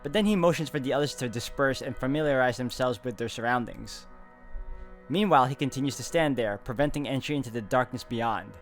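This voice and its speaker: Male playthrough host: reads visual novel narration dramatically, chats casually between passages, clear game music behind him. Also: mid-range voice, fairly quick.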